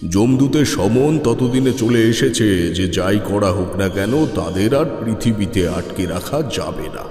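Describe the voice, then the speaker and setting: An actor playing a grave, boastful older tantric mystic in an audio horror story, speaking with deliberate weight. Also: male voice, fairly low pitch, a little mellow. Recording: echoey long reverb, music underneath.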